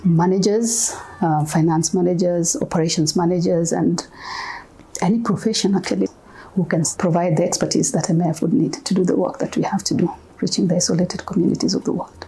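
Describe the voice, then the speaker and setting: Earnest woman with a mellow voice. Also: on the low side, fairly even intonation, rough recording. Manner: earnest, measured, appealing. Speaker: mission organization leader. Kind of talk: documentary interview.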